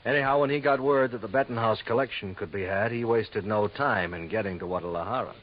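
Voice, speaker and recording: A man's voice: on the low side, fast, dull audio, lightly acted. An American actor playing a worried, businesslike male insurance manager, confiding and explaining in a 1950s radio drama on a noisy old recording. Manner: conversational, hesitant asides, confiding explanations.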